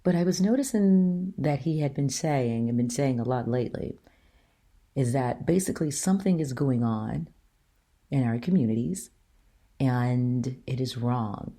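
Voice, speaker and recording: A middle-aged woman with a deep voice hosting her own talk show, speaking earnestly and emphatically to her listeners. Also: animated, a little slow, warm tone. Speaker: show host. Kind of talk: solo opinion talk.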